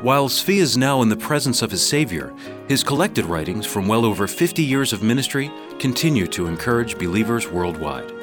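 A man announcing a scripted promo with a dramatic, measured delivery. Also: medium pitch, animated. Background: guitar music.

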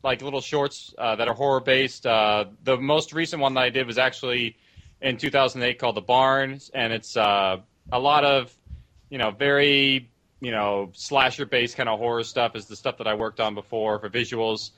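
Bright-voiced, hesitant male podcast guest. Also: mid-range voice, steady tone, normal speed. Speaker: podcast guest, audio drama producer. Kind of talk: casual panel discussion.

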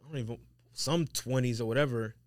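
A man's voice: medium pitch, fast, no room sound, studio quality. A man co-hosting a casual sneaker podcast, informal and opinionated in how he talks. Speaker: podcast co-host.

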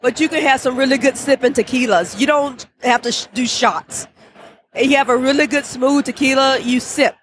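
A woman's voice: high-pitched, moderate pace, husky. A woman chatting casually about drinks, gushing and laughing often. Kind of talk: casual tasting chat.

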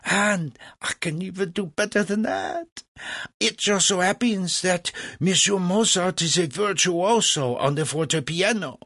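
A man's voice: sits high, animated, takes it slowly, a bit bright, dry recording, clean studio sound, pitched above the performer's own voice, exaggerated voice. A man voicing a pompous, boastful French host in a storybook, with a grandiose, emphatic manner.

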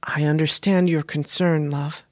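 A composed woman operative speaks in calm, measured, formal sentences, voiced by a male narrator. Her pitch sits clearly higher than his natural voice. The reading is close and dry. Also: no echo, lightly acted.

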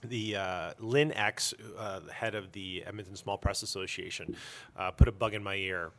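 A man with a fairly low, bright voice talks as a guest in an interview. He tells his stories in an informal, animated way, with a self-deprecating streak. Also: normal speed. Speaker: interview guest, comic store owner. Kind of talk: casual storytelling interview.

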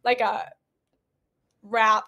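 High-pitched woman, earnest and chatty. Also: little pitch movement, drawn-out words, vocal fry, pushed and bright, dull audio. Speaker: podcast guest, event attendee. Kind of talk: casual interview, personal account.

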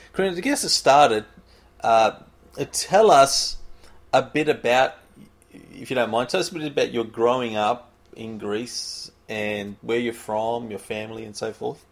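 A man hosting a podcast recorded from a weekly conference call, reading a guest introduction at a brisk clip with small slips. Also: mid-range voice, gravelly. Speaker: podcast host. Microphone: conference call line.

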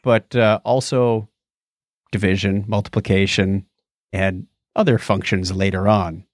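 A middle-aged man co-hosting a podcast in casual conversation, often pausing to think aloud. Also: lowish voice, steady tone, no echo, studio quality. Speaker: podcast co-host. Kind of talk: casual chat about old gadgets.

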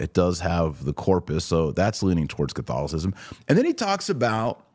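A man with a low-pitched voice hosts a podcast. He comments casually and conversationally, thinking aloud as he goes. The sound is dry, with hardly any room in it. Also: a little mellow, no echo. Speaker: podcast host. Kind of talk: casual commentary on a video clip.

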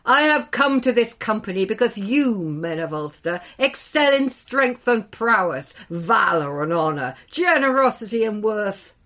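A woman voicing a huge, calm and menacing male giant who speaks in a booming, confident way. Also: unhurried. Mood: commanding.

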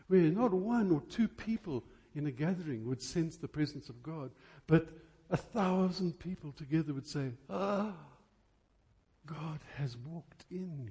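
A man preaching a church sermon in an emphatic, dramatic way, repeating phrases deliberately for effect. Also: a little high, dark tone, slightly rough.

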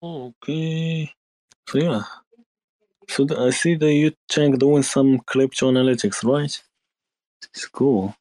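A man hosting a casual online chat, halting and repeating his words as he goes. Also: mid-range voice, darkish tone, no echo, poor audio. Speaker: host.

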